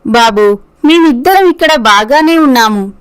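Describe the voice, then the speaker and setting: A woman voicing a caring, worried mother who gently questions her grown son in a moral story. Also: high-pitched, expressive, measured pace, clear, resonant tone. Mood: affectionate. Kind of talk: dramatized moral story dialogue.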